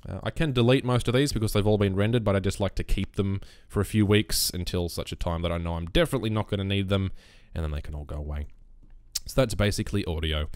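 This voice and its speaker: A young man with a bassy voice explaining his recording setup in a relaxed, rambling way, close on a USB condenser mic on a boom arm. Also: quick pace, no room sound. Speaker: YouTube gaming creator. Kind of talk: casual screen-recorded tutorial.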